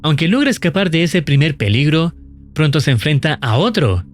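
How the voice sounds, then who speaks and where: A man's voice: a little high, sing-song, moderate pace, soft background music. A man narrating a scripted video recap in an explanatory storytelling style. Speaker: narrator of a horror video channel.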